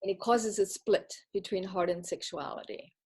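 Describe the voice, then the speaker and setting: A woman who grew up speaking Bavarian tells a personal story in English. She speaks at a middle pitch, reflective and earnest, often circling back and restarting her sentences. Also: fairly even intonation, normal speed, darkish tone, breathy edge. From Bavaria, Germany. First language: Bavarian German. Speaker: retreat participant giving a testimonial.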